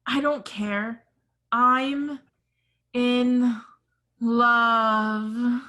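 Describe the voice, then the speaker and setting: A woman playing an eager, lovestruck teenage girl who gushes excitedly in a comedy table read. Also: on the high side, extremely slow, full character voice. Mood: gushing, lovestruck.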